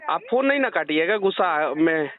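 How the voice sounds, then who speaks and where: A man's voice: expressive, normal speed. A man argues insistently and sarcastically, complaining during a phone conversation. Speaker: telemarketing call recipient. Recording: muffled low-fidelity phone call recording.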